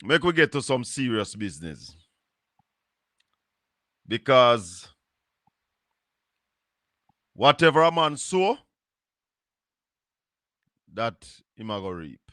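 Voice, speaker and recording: Slow-talking Jamaican man, bright and dry. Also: mid-range voice, big pitch swings, no echo. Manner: slow, musing, drops into patois. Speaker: live stream host. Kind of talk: casual live-stream commentary.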